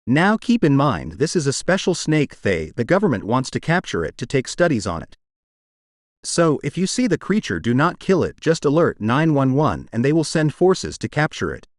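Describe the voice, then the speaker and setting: A man's voice: mid-range voice, very animated, moderate pace, no room sound, clean studio sound. A man delivering scripted emergency alert narration as a broadcast announcer.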